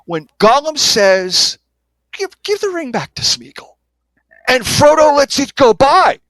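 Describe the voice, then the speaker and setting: Male podcast guest, high voice with big swings in pitch, emphatic and enthusiastic about literature. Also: big pitch swings, a little slow, a bit bright, no echo.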